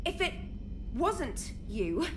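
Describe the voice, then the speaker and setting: A woman's voice: high-pitched, sing-song, extremely slow, noisy background, full character voice. A woman playing a flustered, articulate starship technician in a video game, fussy and precise in manner. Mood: indignant, bewildered. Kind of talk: cinematic video game acting.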